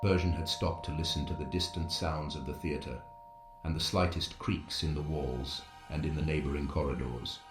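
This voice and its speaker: A man's voice: extremely low pitch, fairly even intonation, normal speed. A man narrating a scripted suspense story in a dramatic storytelling style. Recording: echoey, roomy sound with music.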